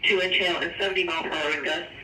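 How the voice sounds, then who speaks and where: A man's voice: on the high side, fairly even intonation, fast, sharp tone. A man working as a weather service radio operator, reading formal warning bulletins over a two-way radio. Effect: two-way radio transmission. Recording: echoey, distant radio transmission pickup.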